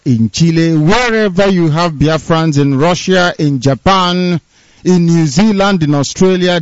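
A man hosts live radio with formal, emphatic oratory. His voice sits a little high, and the sound is dry and slightly muffled. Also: a little mellow, no room sound. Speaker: radio host.

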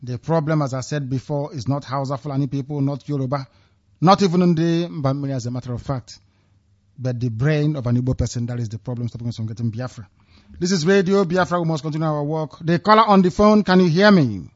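Male radio call-in host, firm and declarative, slightly higher voice, muffled lo-fi sound. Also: quick pace. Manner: firm, declaring, repeatedly hailing callers. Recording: dull lo-fi radio audio.

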